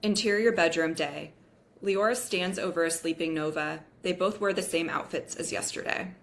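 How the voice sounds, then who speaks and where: A woman's voice: on the low side, moderate pace, gravelly, fairly bright tone, a little hiss or hum. A woman reading the scene directions of a script as narrator at a table read.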